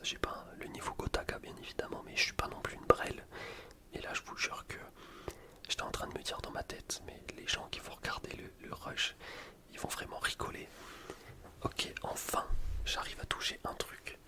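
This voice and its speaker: A man whispering casual, slangy ASMR commentary over gameplay, explaining things in a rambling way. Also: normal speed. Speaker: gaming ASMR video creator.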